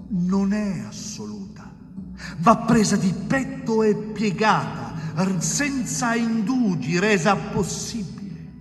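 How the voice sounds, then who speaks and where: A zealous, cold-blooded military police officer, declaims with pompous emphasis. The voice is high for a man and slow, ringing with long reverb over music. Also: fairly bright tone, exaggerated voice. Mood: forceful, righteous. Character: zealous cold carabinieri officer justifying brutal methods. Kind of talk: theatrical dramatic monologue. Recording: echoey hall reverb, music behind.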